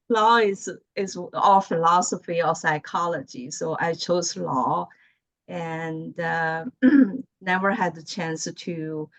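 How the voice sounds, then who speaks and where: Slow-talking woman with a Chinese accent. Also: lowish voice, no room sound. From mainland China. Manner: halting, searching for words, laughs often. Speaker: guest, lawyer. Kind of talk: casual video-call chat. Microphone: video call. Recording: muffled video call audio.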